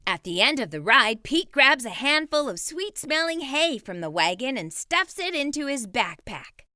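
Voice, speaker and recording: Lively woman reading a kids' book. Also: high voice, moderate pace, no room sound, studio quality. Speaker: children's book reader. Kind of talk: read-aloud storytelling.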